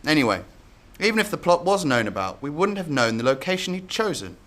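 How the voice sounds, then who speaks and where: A man's voice: on the high side, very animated, a little fast, a bit bright. A man playing a dry, matter-of-fact intelligence official in a radio drama. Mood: brisk, dry.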